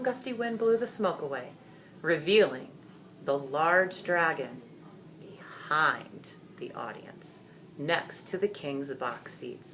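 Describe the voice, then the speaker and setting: A woman reading a children's story aloud in dramatic, expressive, deliberate narration. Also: low-pitched, a little hiss or hum.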